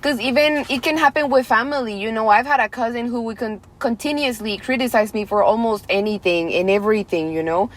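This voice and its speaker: A woman's voice: on the high side, a little fast, hardly any pauses. A woman in her twenties, a life coach hosting a podcast, talking loosely and reflectively with friends over a video call, full of fillers. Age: 20s. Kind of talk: casual group chat on a call.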